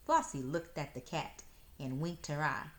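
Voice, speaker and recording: A woman reading a children's story aloud in expressive narration. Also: deep voice, unhurried.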